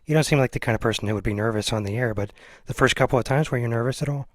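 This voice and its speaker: A man's voice: mid-range voice, racing, no room sound, clean studio sound. A man hosting a casual interview in a hesitant, rambling, self-deprecating way. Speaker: interviewer, show host.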